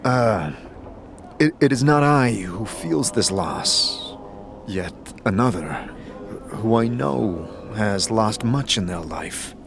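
Man acting a low-voiced, halting fantasy wanderer. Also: animated, heavily acted. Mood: hesitant, curious. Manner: halting, low-key, reserved. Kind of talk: fantasy audio drama acting. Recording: clear background noise.

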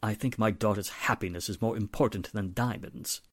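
An actor playing a middle-aged, polite and hesitant wealthy father with a measured manner in a 1940s-style radio drama. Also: fairly low pitch, dry recording, studio quality, natural voice. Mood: apologetic, placating.

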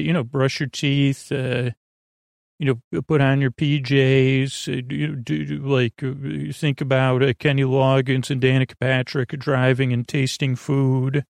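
A middle-aged man with a gravelly voice hosting a bedtime podcast, talking in a halting, meandering monologue that keeps interrupting itself. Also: mid-range voice, a little mellow, no room sound, studio quality. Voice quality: gravelly, creaky. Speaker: podcast host.

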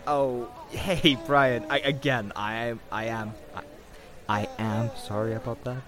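A man's voice: mid-range voice, steady tone, a little slow, lightly acted. A man playing a good-natured, wry survivor chatting in an audio drama. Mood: wry, apologetic. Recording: music bed behind the voice.

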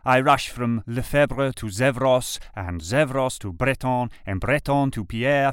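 Smooth-voiced man reading a letter. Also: medium pitch, dry recording, studio quality. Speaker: reader of letters. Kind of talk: scripted reading of a personal letter.

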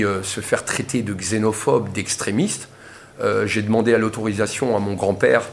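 A man's voice: lowish voice, a little hiss or hum. Impassioned man at a press interview. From Portugal. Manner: impassioned, insistent, repeats for emphasis. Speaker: protester giving a press interview. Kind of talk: press conference statement.

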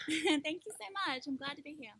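A woman's voice: high-pitched, normal speed, fairly bright tone, no echo. A woman, a bubbly, giggly guest on a casual podcast. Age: 30s.

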